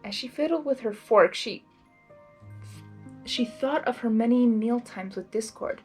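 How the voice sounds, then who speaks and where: A woman reading a story aloud as a host, with an excitable, gushing, expressive delivery. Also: fairly high pitch, measured pace, faint music behind. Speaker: fan fiction reader and channel host.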